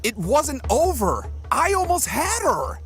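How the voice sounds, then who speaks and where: A woman playing a stubborn, intense young boy fighter with a gravelly edge in an audio drama. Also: high voice, huge pitch swings, slow pace, soft background music, full character voice. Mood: frustrated.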